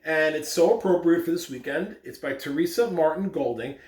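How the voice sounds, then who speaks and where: An American man with an upbeat, deliberate, emphatic delivery, giving school announcements and reading aloud to children as a principal. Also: fairly high pitch, expressive, a little mellow. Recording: roomy, distant mic with background noise.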